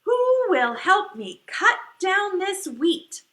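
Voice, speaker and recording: Storybook little red hen, female reader: resolute and busy, slow singsong delivery, bright tone, roomy sound. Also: very high voice, very animated, voice pushed up, full character voice. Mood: hopeful, calling. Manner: singsong, drawn-out calls. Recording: echoey room.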